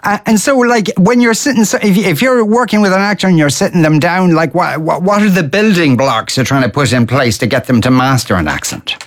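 A man interviewing a guest, asking questions in a lively, conversational way with stumbling restarts. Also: high-pitched, brisk, hardly any pauses.

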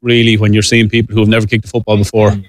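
A man, a team coach, speaking in a brisk, matter-of-fact way. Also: fairly low pitch, monotone, no echo, studio quality. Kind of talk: casual interview.

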